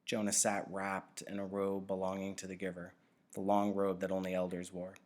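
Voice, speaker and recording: A teacher reading a novel aloud, narrating in a steady, even way. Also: male voice, deep voice, normal speed.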